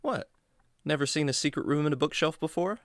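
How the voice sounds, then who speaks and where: A man playing a kindly, patient adventurer who explains things gently and earnestly to his animal buddy in a children's radio drama. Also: on the high side, a little fast, slight background noise, close to an everyday voice. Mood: playful.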